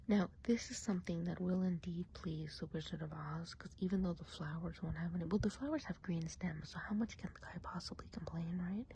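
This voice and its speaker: A woman playing a friendly, chatty witch of the woods in an ASMR roleplay, whispering softly and breathily in an unhurried, musing way. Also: fairly low pitch, slight background noise, lightly acted. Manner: unhurried, gossipy, hesitant musing.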